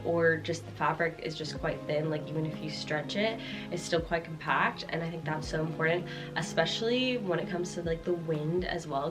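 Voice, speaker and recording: Young woman vlogging, low voice, bit croaky from a cold, lots of likes and ums, music behind. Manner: chatty, rambling, lots of fillers. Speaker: fashion vlogger. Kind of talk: casual chat showing clothes.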